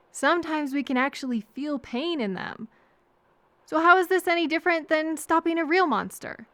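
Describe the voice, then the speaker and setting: A woman playing a kind, anxious, chatty traveler who gently overthinks and thinks aloud in a hesitant, earnest audio roleplay. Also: high-pitched, dry recording. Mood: anxious, dithering.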